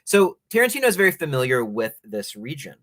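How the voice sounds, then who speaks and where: A man hosting a culture show, giving opinionated, explanatory film commentary in a measured, bookish way and reading passages aloud. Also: medium pitch, sing-song, a bit bright, no room sound, studio quality.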